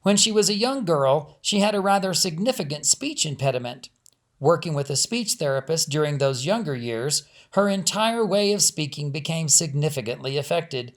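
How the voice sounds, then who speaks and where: A man's voice: on the high side, lively intonation, moderate pace. A man reading a family story aloud as scripted audiobook narration. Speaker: author reading own audiobook.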